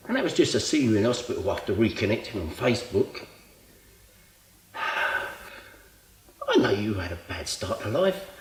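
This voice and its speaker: A man playing an exasperated, guilt-ridden middle-aged character in a colloquial, emotionally volatile stage monologue. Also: sits low. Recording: echoey room.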